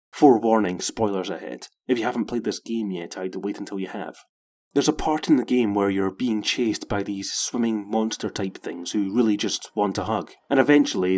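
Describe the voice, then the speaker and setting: A man's voice: fairly low pitch, a little mellow. A man delivering scripted narration as the presenter of a video essay.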